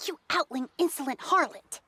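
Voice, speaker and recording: A woman's voice: sits high, normal speed, bright, forceful tone, a little hiss or hum. A woman playing a timid, sensitive anime girl with a hesitant, emotional delivery in an audio drama. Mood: outraged.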